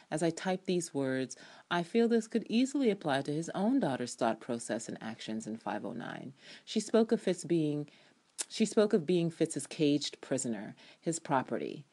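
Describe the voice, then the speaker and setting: A woman giving an expressive, theatrical reading of her own written TV review, with playful ad-libbed asides. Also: deep voice. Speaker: podcast reviewer and TV fan.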